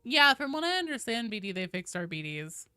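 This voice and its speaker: Bright, clear-voiced woman chatting on a livestream. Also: on the high side, big pitch swings, fairly quick, no echo, clean studio sound. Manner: informal, slangy, chatty. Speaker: game streamer and host. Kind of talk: casual livestream chat, reading out viewer comments.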